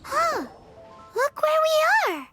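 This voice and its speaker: Super squeaky tiny game companion, cute n nosy, loud music behind her. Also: very animated, slow, full character voice. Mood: concerned. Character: tiny, childlike floating sidekick companion, chirpy and questioning. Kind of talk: video game voice acting.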